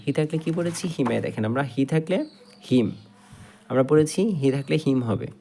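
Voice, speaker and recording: A man's voice: on the high side, normal speed, dark tone, a little hiss or hum. A man with a Bangladeshi accent teaches grammar step by step, repeating points and answering his own questions. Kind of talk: step-by-step grammar lesson at a board.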